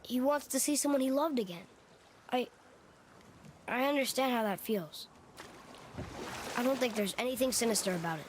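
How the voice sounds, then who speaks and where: Young male actor as an earnest, high-voiced boy, water noise behind. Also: moderate pace, long pauses. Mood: pleading, defensive. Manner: earnest, questioning, hesitant. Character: earnest, hopeful young boy, curious and sensitive.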